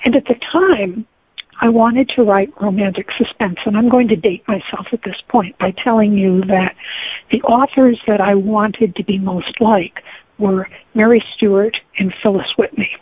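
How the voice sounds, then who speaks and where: A woman's voice: medium pitch, a little slow, breathy edge, no echo. An older woman with an American accent, telling stories conversationally as an interview guest over a thin phone-like connection. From United States. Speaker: guest, novelist.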